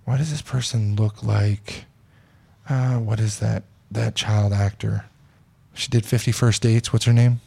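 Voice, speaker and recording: A man's voice: lowish voice, steady tone, measured pace, gravelly, warm tone, slightly rough. A man hosting a casual podcast, chatting bluntly and reading news posts aloud. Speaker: podcast host.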